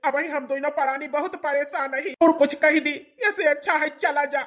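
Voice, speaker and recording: Someone doing a high pitched awadhi village dad for a cartoon, doting, stubborn, very dramatic. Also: steady tone, normal speed, a bit bright. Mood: indignant. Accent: Awadhi, eastern Uttar Pradesh. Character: doting, stubbornly trusting village father and family elders. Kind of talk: cartoon comedy drama voice acting in Awadhi dialect.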